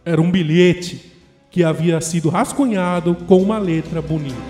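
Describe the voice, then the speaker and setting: A man's voice: on the high side, steady tone, moderate pace, warm tone, lightly acted. A man playing a disoriented, humiliated character giving a solemn first-person monologue in an audio drama. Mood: dazed, solemn. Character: disoriented, humiliated man recounting his grim awakening. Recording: long echoing reverb, music behind.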